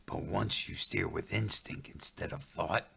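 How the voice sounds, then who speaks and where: A man's voice: sits low, gravelly, husky, exaggerated voice. A man whispering as a solemn forest spirit guide. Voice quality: hushed, whispery. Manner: slow, solemn, measured. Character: calm stern male forest guide spirit. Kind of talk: storytelling.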